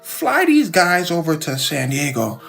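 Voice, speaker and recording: Animated woman retelling with swooping pitch. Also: unhurried, vocal fry, faint music behind. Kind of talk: animated spoken explainer retelling.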